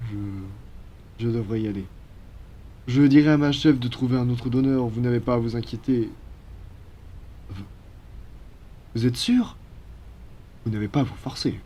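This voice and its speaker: A man plays a well-mannered vampire in an audio roleplay. The voice is mellow and breathy, with a formal, apologetic courtesy. He speaks politely and reassuringly, like a gentleman of his kind. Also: mid-range voice, moderate pace, pauses often, dull audio, lightly acted. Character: courteous, apologetic male vampire from a blood-donation foundation. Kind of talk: ASMR roleplay acting.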